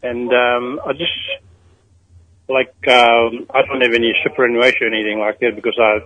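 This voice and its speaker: An older man from Fiji calling in to a radio show, speaking in a hesitant, halting way. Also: medium pitch, level tone, pushed and bright, dull audio. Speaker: caller. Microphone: telephone.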